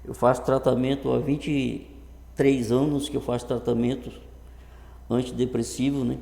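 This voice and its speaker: A man in his early fifties with a northern Brazilian accent, talking casually and haltingly to camera, often repeating words, in an echoey improvised room. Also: mid-range voice, flat delivery, creaky, mellow. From Brazil, Rondônia. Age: early 50s. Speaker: vlogger introducing his channel. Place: improvised untreated home room. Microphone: computer webcam setup.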